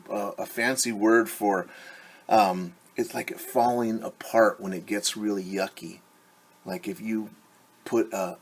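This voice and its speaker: A man's voice: fairly low pitch, slightly rough. A middle-aged man, a teacher, reading a picture book aloud to children and explaining things simply. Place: small room at home.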